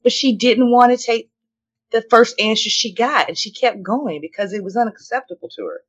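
A woman's voice: a little high, moderate pace, slightly rough, muffled recording. A middle-aged woman co-hosting a podcast, telling anecdotes in a chatty, emphatic and opinionated way. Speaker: podcast co-host. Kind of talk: casual conversation.

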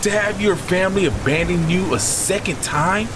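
A man's voice: high-pitched, moderate pace, close to an everyday voice. A man acting an embittered, grieving brother in an audio drama, with anguished delivery. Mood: bitter, anguished. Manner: anguished, profane outbursts. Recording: heavy rain and storm noise behind. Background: rain and storm ambience.

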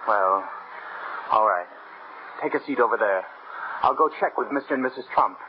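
A man plays a brusque doorkeeper in a 1950s radio drama, suspicious and inhospitable. His mid-pitched voice gives curt commands through a tinny, noisy vintage recording. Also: expressive, normal speed, natural voice.

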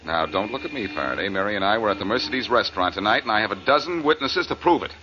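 A man's voice: lowish voice, lively intonation, fast, lightly acted. A man playing a breezy, wisecracking, self-assured amateur sleuth in a 1940s American radio drama. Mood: needling, protective. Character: breezy, cocky male amateur sleuth, adult, quick-witted. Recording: muffled, noisy old radio recording.

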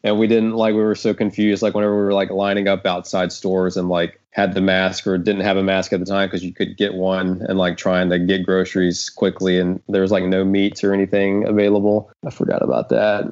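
A man's voice: deep voice, completely flat delivery, fairly quick, few pauses, darkish tone. A man hosting a casual podcast discussion, talking in a rambling, hesitant way. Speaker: podcast host, writer. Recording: dull, lo-fi audio.

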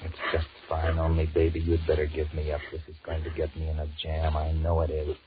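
An actor with an American accent playing a cowardly, irritable cheating husband in a 1940s radio drama, switching between muttered thoughts and halting sweet talk. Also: rumbling low, monotone. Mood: exasperated, placating. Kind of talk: 1940s radio horror acting with inner monologue. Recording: muffled, noisy 1940s radio recording.

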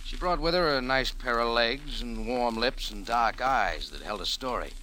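Hard-boiled, wry American cafe owner. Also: male voice, mid-range voice, expressive, normal speed, sharp tone, slight background noise, low-quality recording. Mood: wry, brooding. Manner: hard-boiled, clipped slangy wisecracking. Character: tough, world-weary male cafe owner, wry and guarded. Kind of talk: 1940s radio acting with first-person narration. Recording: old 1940s radio recording.

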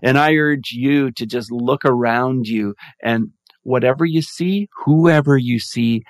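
A man, a podcast guest, telling his story in a calm, reflective, measured way. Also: mid-range voice, no echo. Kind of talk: interview storytelling.

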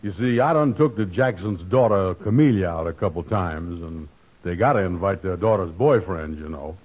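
Pompous, dim, boastful would-be society gent, 1940s radio comedy; low voice, muffled vintage sound. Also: male voice, animated, breathy edge. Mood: smug. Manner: blustering, drawn-out, ungrammatical talk.

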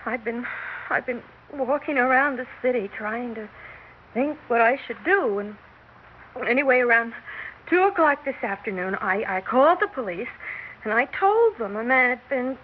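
An actress plays a nervy young American woman playwright in a 1960s radio melodrama. Her voice sits high and swings widely as she moves between hesitation and persuasion. The old recording is muffled, with a noticeable hiss. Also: animated, normal speed, close to an everyday voice. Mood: shaken, halting.

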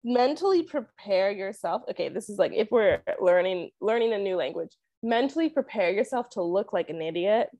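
A woman's voice: mid-range voice, animated, moderate pace, few pauses, mellow, no echo. A young woman from Canada with a Canadian accent, talking casually as an interview guest, saying "like" constantly and laughing often. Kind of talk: casual chat.

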